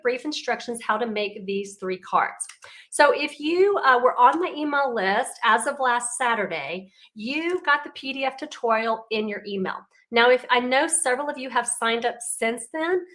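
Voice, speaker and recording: Raspy, congested woman demonstrator. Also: a little high. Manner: chatty and warm, with frequent ums. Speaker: card-making demonstrator. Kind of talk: casual craft tutorial.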